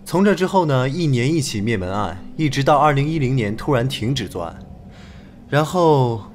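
Male actor as keen young investigator, brisk, lively intonation, faint ambient noise, audio drama. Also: medium pitch, lightly acted. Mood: focused, brisk. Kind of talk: Chinese audio drama acting.

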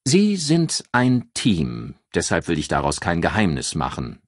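A man voicing a jovial yet menacing state prosecutor in an audiobook, speaking formally and pompously with deliberate emphasis. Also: sits low, huge pitch swings, dry recording, studio quality, full character voice. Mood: stern, threatening. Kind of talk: audiobook character dialogue.

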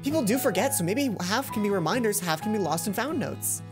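A woman's voice: medium pitch, animated, brisk, close to an everyday voice. A woman voicing an earnest, bookish teenage schoolgirl in a visual novel, polite and mild. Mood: helpful. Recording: background game music.

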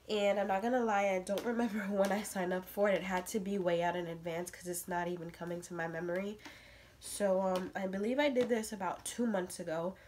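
A young woman sounding a little stuffy, talking loosely and rambling, with plenty of ums. She speaks at a middle pitch to a camera set up close in a bedroom. A faint hiss sits under the recording. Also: a little mellow. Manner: chatty, rambling with many fillers. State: stuffy nose. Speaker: vlogger. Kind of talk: casual unboxing vlog. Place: bedroom with a crib, indoors.

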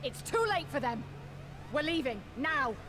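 Scornful young warrior, biting, blunt, video game acting, animated pitch. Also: female voice, unhurried, background noise. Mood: urgent. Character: hard-bitten, scornful young female soldier. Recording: game sound effects and ambience behind.

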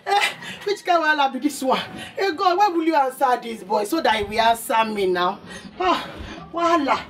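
A woman's voice: sits high, lively intonation, moderate pace. A woman with a Nigerian accent playing an aging mother who nags and guilt-trips her son, wailing and mixing in pidgin. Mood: lamenting. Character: aging Nigerian mother, dramatic, nagging, self-pitying. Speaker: mother in a comedy skit. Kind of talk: skit acting.

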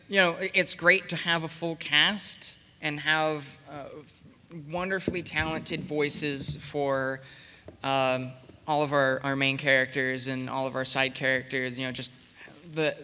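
A man talks on a panel in a reverberant hall. His voice is bright and clean, and he feels his way through sentences with a hesitant, wandering delivery. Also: mid-range voice, clear, resonant tone. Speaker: convention panelist, production team coordinator. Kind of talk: informal panel talk. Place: convention panel room with audience. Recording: echoey hall with long reverb.

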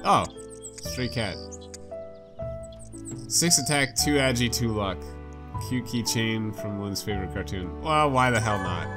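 A man's voice: on the low side, animated, measured pace, long pauses. A man giving casual, playful, slangy commentary as he plays a video game. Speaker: let's player. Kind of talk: casual game commentary, reading dialogue aloud. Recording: game music behind. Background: game music.

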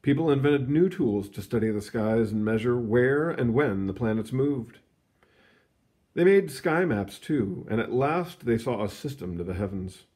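A man reading a children's science book aloud in an expressive storytelling manner, stretching words for emphasis, with casual asides. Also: medium pitch. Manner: animated, storytelling delivery with drawn-out emphasis. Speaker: read-aloud reader.

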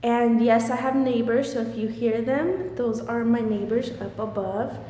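A young woman talks effusively and chattily to her phone camera held at arm's length. Her voice sits a little high. The bare rooms around her give a heavy echo with a long tail. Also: measured pace, warm tone. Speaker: vlogger. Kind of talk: casual vlog chat. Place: large empty apartment, indoors. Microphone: handheld phone camera at arm's length. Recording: echoey empty room with long reverb.

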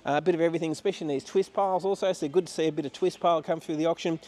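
Male auction presenter, slightly high voice, matter-of-fact salesman delivery, ad-libbed walkthrough. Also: steady tone, rapid-fire, darkish tone. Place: large warehouse, walking about.

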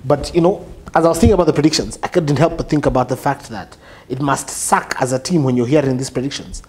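A man in his forties hosting a casual discussion in a small studio, leading with lively questions. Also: mid-range voice, fast. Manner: lively, leads the chat with questions. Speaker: host. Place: small office studio, indoors.

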